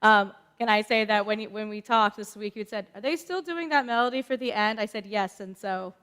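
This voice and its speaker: A woman cantor leading congregational prayer in a synagogue, with a measured, deliberate way of reading. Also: mid-range voice, a bit bright, clear, resonant tone. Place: synagogue sanctuary. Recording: long echo of a large hall.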